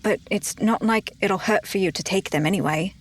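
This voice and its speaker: Woman roleplaying at a game table, medium pitch. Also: normal speed. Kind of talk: casual in-character roleplay and table talk.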